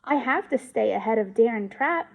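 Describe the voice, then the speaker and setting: Woman acting young boy, storybook character, earnest, anxious, raised pitch, pleading childlike manner. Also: lively intonation, moderate pace, gravelly. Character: anxious, earnest third-grade boy narrator, eager to win.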